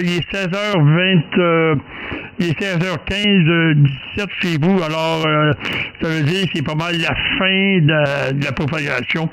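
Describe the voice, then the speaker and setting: A man's voice: a little high, fairly bright tone. A man with a Quebec French accent chatting casually as a radio operator, rambling and hesitant, often repeating himself. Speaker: amateur radio operator leading a group contact. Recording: clearly noisy amateur radio recording with clicks.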